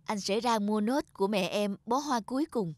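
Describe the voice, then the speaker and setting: A woman voicing a kindly, polite young man in an animated moral story, speaking gently. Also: medium pitch, moderate pace, close to an everyday voice. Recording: loud music over the dialogue.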